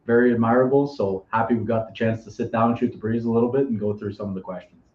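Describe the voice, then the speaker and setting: A man, a podcast guest, chatting in a friendly, relaxed way. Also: medium pitch, fast. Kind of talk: casual conversation. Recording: roomy, dull sound with faint noise.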